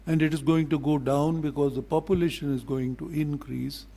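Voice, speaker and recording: An older man with a Pakistani accent speaks as a diplomat in a formal public address, in a deliberate, blunt, admonishing manner. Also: a little high, fairly even intonation, creaky, dark tone.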